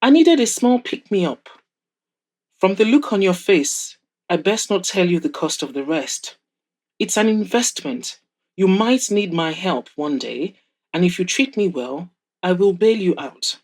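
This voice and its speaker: A woman's voice: fairly low pitch, animated, unhurried, darkish tone. Woman doing a proud rich older mom in an audio drama, wry, teasing, tells her grown son straight. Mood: playful, defensive. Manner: teasing, frank, advising.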